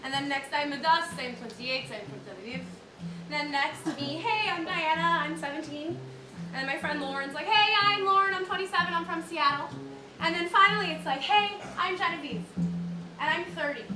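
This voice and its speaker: High-voiced woman in a theatre monologue. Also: moderate pace, over music. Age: 30s. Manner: storytelling with laughs, mimicking customers. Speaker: solo stage performer. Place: theatre stage with live audience. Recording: roomy theatre sound from a distance. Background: upright bass, audience laughter.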